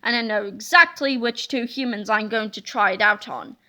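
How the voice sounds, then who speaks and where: A woman voicing a scheming, pompous evil gym teacher man, a lowered voice with a sneering, commanding manner, in a storybook read-aloud. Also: pushed and bright. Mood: sinister. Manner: sneering, commanding, slow menace.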